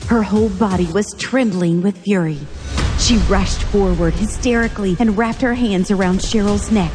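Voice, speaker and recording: A woman's voice: medium pitch, normal speed, in a large hall, music in the background. A woman reading scripted narration for a dramatic story.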